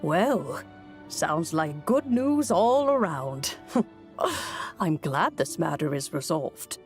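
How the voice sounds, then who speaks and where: An actor playing an easygoing, upbeat companion in a game, relaxed and informal. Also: mid-range voice, drawn-out words, soft background music, heavily acted. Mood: relieved. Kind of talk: scripted game voice acting.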